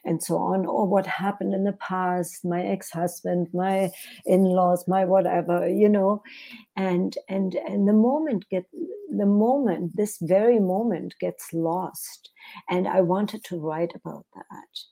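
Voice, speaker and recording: German lady writer chatting slow, gravelly low voice, mellow, thinks out loud. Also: fairly even intonation. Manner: reflective, searching for words, laughs easily. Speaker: author guest. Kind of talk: interview conversation.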